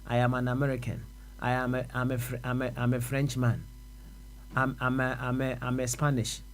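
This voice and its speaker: Brief: male radio host, mid-pitched, musing, questioning. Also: completely flat delivery, normal speed, slight background noise. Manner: reflective, mixes English and Twi, asks probing questions. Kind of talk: casual radio discussion.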